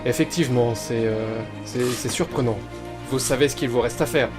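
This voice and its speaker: A man's voice: medium pitch, normal speed, natural voice. Man acting a curt boss, music behind. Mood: stern. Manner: curt, ordering. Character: terse, commanding boss receiving secret lab results. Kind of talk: French audio drama acting.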